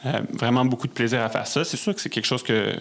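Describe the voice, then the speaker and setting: A man with a Québécois French accent, speaking as a guest in a casual interview, often halting to search for words. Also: medium pitch. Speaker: podcast guest, musician and conductor.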